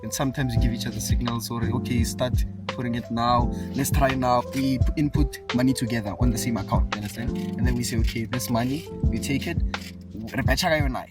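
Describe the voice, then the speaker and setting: A young man in a casual street interview, talking excitedly. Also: mid-range voice, a little fast, distant mic, over loud music.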